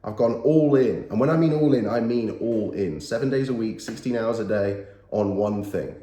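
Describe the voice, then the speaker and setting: Guy in his 30s, stuffy nasal voice from a cold, low mellow tone, kinda echoey room. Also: moderate pace. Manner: earnest, emphatic, repeats key phrases. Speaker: motivational YouTuber. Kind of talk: motivational talk to camera. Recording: roomy echo.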